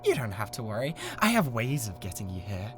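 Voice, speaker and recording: A young British man voicing an obsessive, menacing young male streamer in an ASMR audio drama, swinging between whispers and shouts. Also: on the low side, wildly swooping pitch, a little fast, faint music behind. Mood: cold menace. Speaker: voice actor.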